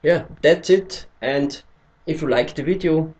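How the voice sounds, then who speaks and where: Slow, halting Austrian man. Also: a little high, darkish tone, muffled recording. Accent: Austrian German. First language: German. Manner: hesitant, searching for English words. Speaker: tutorial maker. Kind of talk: screen-recorded tutorial.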